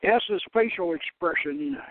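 Dry, narrowband radio sound frames a man reading fiction aloud. He hosts and narrates in a dramatic, scripted style with a slightly high male pitch. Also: slow pace, no echo. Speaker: host reading his own novel.

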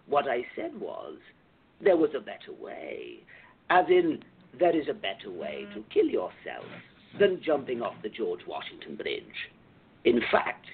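A man acting in a radio play as a sly, sinister older recruiter for a secret club, with a gravelly voice and theatrical, insinuating delivery. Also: mid-range voice, slight background noise, exaggerated voice. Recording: tinny, phone-like narrow-band audio.

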